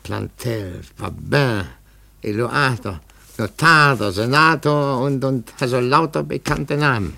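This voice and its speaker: An actor playing a shrewd, insinuating male investigator in a 1950s German radio play, musing deliberately and asking pointed questions. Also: medium pitch, gravelly, pushed and bright, exaggerated voice. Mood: musing, intrigued.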